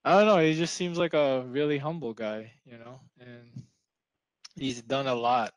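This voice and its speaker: A young man from the Philippines whose first language is Tagalog, chatting casually on a video call through a headset, soft-spoken and trailing off at the ends of sentences. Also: mid-range voice, steady tone, normal speed, no room sound. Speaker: support engineer guest.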